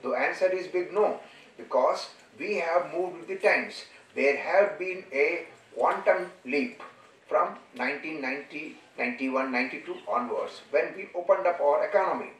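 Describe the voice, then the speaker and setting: A man with an Indian accent giving a formal lecture in an earnest, discursive and halting way. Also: fairly high pitch, a bit bright. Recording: echoey room, distant microphone.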